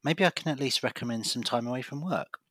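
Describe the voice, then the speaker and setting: Male actor as earnest GP in audio drama; husky, forceful, measured, very dry close sound. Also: mid-range voice, dry recording, close to an everyday voice. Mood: reassuring, concerned. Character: reassuring, persistent male family doctor with a secret.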